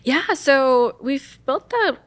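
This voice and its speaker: A woman's voice: sits high, lively intonation, slow pace, fairly bright tone. A woman with a Los Angeles accent, chatting upbeat as a guest in an interview, laughing easily and using lots of fillers. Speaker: guest, brand marketing lead. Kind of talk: casual interview chat.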